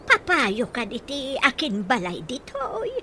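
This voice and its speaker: A woman's voice: sits high, huge pitch swings, takes it slowly, pushed and bright, a little hiss or hum, full character voice. An actress playing a confused, forgetful old grandmother in a radio drama, hesitant and questioning. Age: older. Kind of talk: Ilocano radio drama acting.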